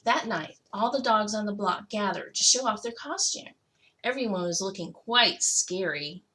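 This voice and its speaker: A woman's voice: mid-range voice, expressive, moderate pace, bright, forceful tone. A woman reading a children's picture book aloud expressively, stretching out key words for emphasis. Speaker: school technology coordinator reading to children.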